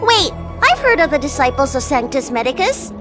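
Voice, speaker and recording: A woman's voice: sits high, big pitch swings, fairly quick, over music. A woman voicing an earnest, trusting young girl healer in a video game, with a childlike, exclamatory way of talking. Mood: puzzled, earnest.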